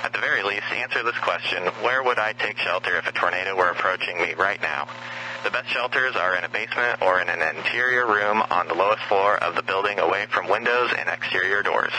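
Low-voiced man on noisy weather radio. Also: fairly quick, bright, forceful tone. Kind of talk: scripted public safety announcement.

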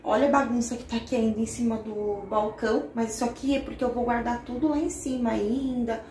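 A woman's voice: fairly high pitch, fairly even intonation, fairly quick, dark tone, slight background noise. A woman in her thirties chatting casually to her viewers while cleaning a small home kitchen, filming on a handheld phone and checking in often with "okay?". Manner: chatty, uses lots of diminutives and "tá?". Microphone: handheld phone camera.

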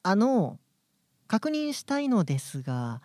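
High-voiced, flustered young guy. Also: wildly swooping pitch, slow, a little mellow, no room sound, studio quality, full character voice. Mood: bewildered. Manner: bewildered straight-man delivery. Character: flustered, polite ordinary young man, easily alarmed. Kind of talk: broadcast radio comedy drama.

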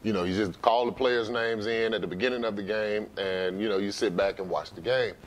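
Deep-voiced American man, conversational and hesitant. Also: steady tone, a little fast, rough recording. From Memphis, United States. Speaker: interview guest, sports arena announcer. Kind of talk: casual interview answers. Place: living room, seated on couch. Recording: faint low background noise.

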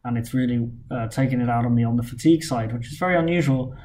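A man's voice: medium pitch, dark tone. A man in his thirties recording a weekly vlog at home, talking earnestly and steadily while worn out from a long illness. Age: 30s. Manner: measured, earnest, plain-spoken with frequent "uh" fillers. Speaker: vlogger and company founder. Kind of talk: weekly vlog update to camera. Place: home room with bookshelves, indoors.

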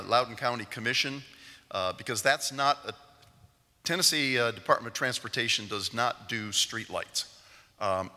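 An older man speaks into a stand mic at a lectern in a large church sanctuary. His voice is fairly low and very bright, and it trails into a long hall reverb. He answers questions plainly and patiently, with many "uh"s. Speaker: meeting presenter answering resident questions. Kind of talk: community meeting Q&A.

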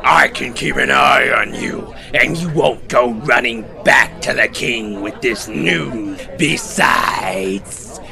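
An actor voicing a scheming, boastful villain army commander in a fantasy audio drama, forceful and emphatic. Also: male voice, mid-range voice, music in the background. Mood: gloating, scheming. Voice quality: gravelly. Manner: forceful, emphatic, slow and menacing.

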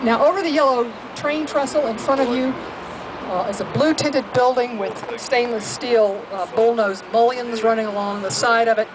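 A woman giving a guided tour commentary over a boat's speaker, explaining at length with frequent "uh" pauses. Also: fairly high pitch. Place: open-air tour boat on a city river. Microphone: public address speaker, recorded from the audience. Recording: loud boat and outdoor noise. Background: boat engine and river ambience.

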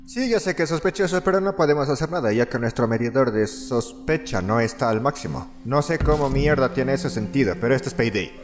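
A man's voice: medium pitch, brisk, hardly any pauses. Sarcastic man narrating a game parody. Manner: sarcastic, deadpan asides. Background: game music.

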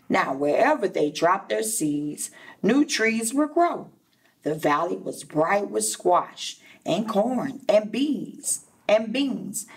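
A woman reads a children's story aloud in a classroom, a little distant from the microphone. Her pitch moves in animated swings, and she takes her time with each phrase, like a preschool teacher speaking to young listeners. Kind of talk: expressive children's read-aloud with asides to listeners. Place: indoor classroom.

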